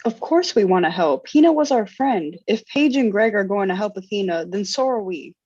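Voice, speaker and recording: A young woman as an actress plays her stands firm for her friends, loyal and insistent. Her voice is mid-pitched, in a comic-book drama read, with dry, dull sound. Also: lively intonation, normal speed, a little mellow, slightly rough, no echo. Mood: determined.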